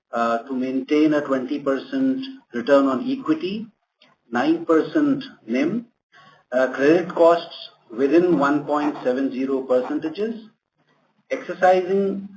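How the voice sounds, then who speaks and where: Slow, deliberate male executive. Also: mid-range voice, darkish tone. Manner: deliberate, hesitant. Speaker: senior bank executive. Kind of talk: formal closing remarks on an earnings call. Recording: faintly noisy conference call.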